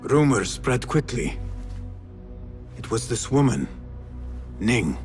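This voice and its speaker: An actor playing a brooding, haunted young assassin in a video game, halting and terse. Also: male voice, mid-range voice, big pitch swings. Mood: troubled, hesitant. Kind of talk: video game cutscene dialogue. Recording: music behind.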